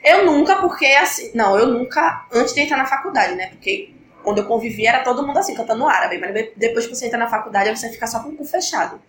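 Uma mulher com gripe apresentando um podcast em conversa descontraída, falando de forma animada e dispersa. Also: female voice, a little high, animated, fast talker, fairly bright tone, echoey room, a little hiss or hum. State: has a cold, congested. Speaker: podcast host. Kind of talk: casual podcast chat.

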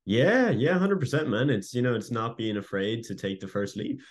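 A man's voice: mid-range voice, expressive, moderate pace. A man hosting a casual interview, upbeat and informal, with loose phrasing and fillers. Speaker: host and business coach.

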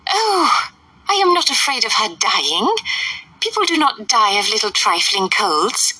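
A woman voicing a fussy, excitable middle-aged mother with a shrill, gushing manner. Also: unhurried, breathy edge, no room sound, distant mic, full character voice, low-quality recording. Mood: fussing.

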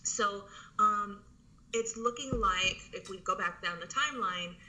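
A woman's voice: mid-range voice, measured pace, sharp tone, rough recording. A woman in her thirties, an interview guest telling her story in a casual, rambling way with lots of fillers and restarts. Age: 30s.

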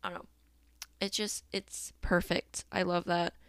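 A woman's voice: fairly low pitch, flat delivery, unhurried, fairly bright tone, dry recording. A young woman hosting a casual solo podcast, chatty and upbeat, laughing often and using fillers. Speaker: podcast host. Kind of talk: casual solo podcast chat about a song.

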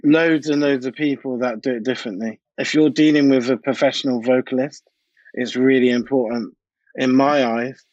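A man's voice: medium pitch, steady tone, moderate pace, a little mellow, dry recording, highs cut off. A British man talking casually and anecdotally as a DJ and producer being interviewed, in informal, colloquial speech. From United Kingdom. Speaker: interview guest, DJ and music producer.

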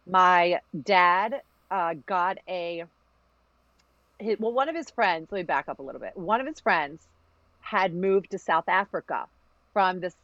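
A woman from Virginia, a podcast guest telling a family story in a lively, casual way, often restarting her sentences. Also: fairly low pitch, a little slow, no room sound, lo-fi sound. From Virginia, USA. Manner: animated, lots of restarts and acted-out quotes.

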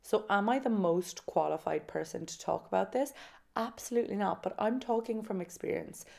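A woman's voice: mid-range voice, normal speed, gravelly, darkish tone. A woman in her late twenties or so hosting a solo podcast, chatting candidly and casually, with self-mocking humor and dramatic emphasis. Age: late 20s or about 30. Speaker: podcast host. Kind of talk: casual solo podcast monologue, personal storytelling.

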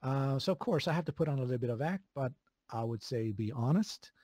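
A man from Sweden with a Swedish accent, chatting casually as an interview guest, relaxed, with many fillers and chuckles. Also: mid-range voice, brisk, dry recording, clean studio sound. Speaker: video game composer, guest.

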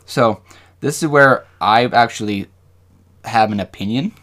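A man co-hosting a podcast, talking casually and reading from notes, with halting, rambling explanations. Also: mid-range voice, a bit bright. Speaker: podcast co-host. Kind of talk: casual discussion with some reading aloud from notes.

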